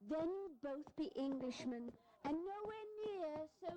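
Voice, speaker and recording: A woman's voice: high-pitched, animated, a little slow, warm tone, rough recording. An actress playing a flirtatious, haughty English society lady in a historical audio drama, playful, airy and disdainful. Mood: bored disdain.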